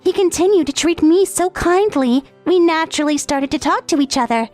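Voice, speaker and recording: A woman's voice: very high voice, expressive, fairly quick, a little mellow, no room sound, faint music behind. A woman playing a clumsy, lovestruck teenage schoolgirl who poses as cool, earnestly narrating in an anime dub. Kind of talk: anime-style dub acting with narrated monologue.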